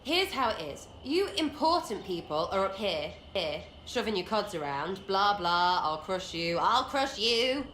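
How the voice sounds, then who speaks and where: Lively, cheeky English rogue girl. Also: mid-range voice, a little slow, hardly any pauses. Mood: blunt, rambling. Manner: slangy, blunt, rambling, mocking. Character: cheeky, irreverent young rogue archer woman, scrappy commoner. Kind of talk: video game dialogue acting. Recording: roomy echo, faint background noise.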